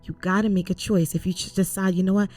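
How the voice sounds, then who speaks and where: A woman's voice: mid-range voice, steady tone, quick pace, warm tone, soft background music. A young woman co-hosting a faith podcast, from Cameroon and raised in Canada, speaking earnestly and emphatically in a casual, preachy way. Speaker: co-host.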